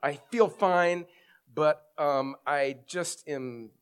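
A man's voice: fairly high pitch, very slow, smooth. A middle-aged male pastor with a head cold and scratchy throat, preaching a sermon earnestly, explaining and reading scripture with emphasis.

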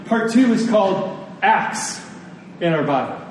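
A man's voice: sits high, big pitch swings. Echoey male preacher, conversational and earnest. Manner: conversational, earnest, with rhetorical questions and emphatic pauses. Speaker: pastor preaching. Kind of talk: sermon. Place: large room, preaching to a congregation. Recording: echoey room, distant mic, faint noise.

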